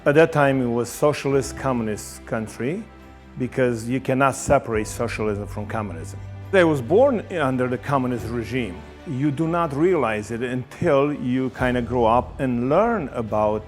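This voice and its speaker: A man from Romania, giving an emphatic personal testimony and repeating phrases for force. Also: mid-range voice.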